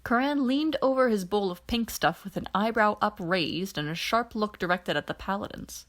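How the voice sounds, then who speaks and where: A woman's voice: medium pitch, normal speed. A woman narrating scripted fiction as an audiobook reader, giving voices to the characters.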